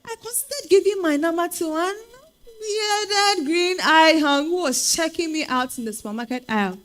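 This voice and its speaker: A woman's voice: very high-pitched, normal speed. A woman with a Ghanaian accent playing a spirited single woman telling her dating story in a comic stage reading. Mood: wry, animated. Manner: expressive, exasperated storytelling. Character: Araba, spirited Ghanaian single woman hunting foreign men.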